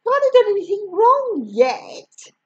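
A woman's voice: very high voice, big pitch swings, measured pace, lo-fi sound, voice pushed up, full character voice. A woman voicing a caring, fussing, indignant mother in a storybook reading. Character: worried caring mum.

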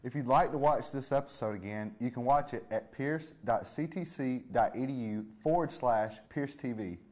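A man's voice: medium pitch, moderate pace, a little mellow. A man co-hosting a college TV program, reading scripted announcements in a presenter's style. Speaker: host of a college TV show.

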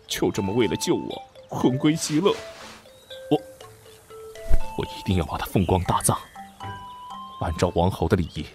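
A man's voice: low voice, big pitch swings, moderate pace, pauses often, music in the background. A man playing a melodramatic young prince in a Chinese costume audio drama, theatrical and stammering when flustered. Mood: melodramatic grief. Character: young lecherous prince, secretly another soul, theatrically grieving and scheming.

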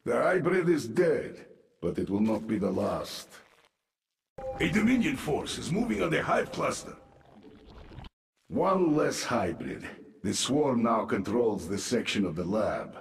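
An actor playing a gruff, grim military commander in a video game, gravelly and ominous. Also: male voice, medium pitch, very animated, a little slow, background noise. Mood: urgent. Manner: dramatic, ominous. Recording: echoey reverberant game audio, music behind.

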